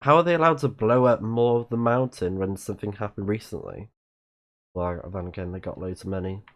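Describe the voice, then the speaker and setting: A man with a fairly low voice hosts a casual game livestream. He chats in loose, rambling asides as he plays, sounding slightly blocked up in the nose. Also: brisk. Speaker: live-streaming let's play host. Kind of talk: casual game commentary, reading in-game messages aloud.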